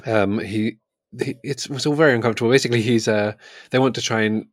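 A man's voice: mid-range voice, fairly even intonation, quick pace, dry recording, studio quality. A man co-hosting a podcast, discussing books casually with informal, hesitant phrasing. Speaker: podcast co-host.

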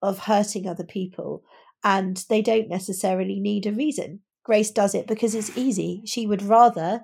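A woman's voice: medium pitch, fairly even intonation, normal speed, vocal fry, darkish tone, breathy edge. Woman podcast host talking books, mid-range voice, emphatic and opinionated but casual. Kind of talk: casual book discussion.

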